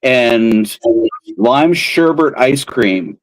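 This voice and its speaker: A man's voice: medium pitch, animated, extremely slow, rough recording. A man hosting a casual beer chat, telling stories with many restarts and fillers. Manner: rambling storyteller with restarts. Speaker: channel host, beer can collector.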